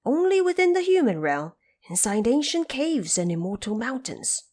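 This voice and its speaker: A woman voicing a wise, reverent ape adviser in a storybook drama, declaiming in measured phrases. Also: a little high, sing-song, darkish tone, pitched above the performer's own voice.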